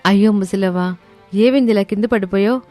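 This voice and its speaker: A woman voicing an anxious, caring village woman in an animated moral story. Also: medium pitch, brisk, pitched below the performer's own voice, lightly acted. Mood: alarmed. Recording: music behind the voice.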